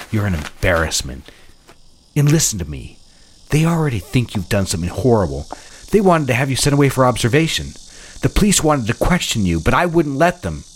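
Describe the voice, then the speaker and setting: Menacing, controlling husband. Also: male voice, lowish voice, breathy edge. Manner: patronizing, switching between cooing and scolding. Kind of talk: dramatic audio drama acting.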